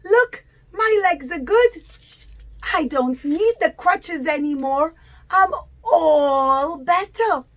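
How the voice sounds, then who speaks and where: A woman voicing joyful, amazed healed pilgrims in a children's puppet show, exclaiming in wonder with drawn-out words. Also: very high-pitched, animated, a bit bright, pitched above the performer's own voice, full character voice.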